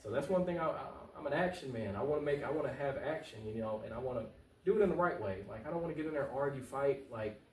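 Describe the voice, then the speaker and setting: A man's voice: mid-range voice, lively intonation, quick pace. An American man giving earnest, informal interview answers as a political candidate, repeating phrases for emphasis. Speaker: political candidate, talk show guest. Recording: roomy echo, distant mic, faint noise.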